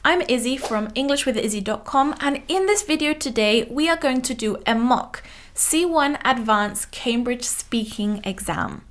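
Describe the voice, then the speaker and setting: Young woman English teacher playing examiner, upbeat, clear, encouraging, somewhat high voice. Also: lively intonation, normal speed. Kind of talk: mock speaking exam, teacherly.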